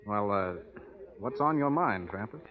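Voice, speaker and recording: Easygoing cowhand, muffled old radio. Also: male voice, fairly low pitch, expressive, unhurried. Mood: wry, weary. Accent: American Western. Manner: folksy, casual. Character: easygoing, grumbling young cowhand. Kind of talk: old-time radio western acting.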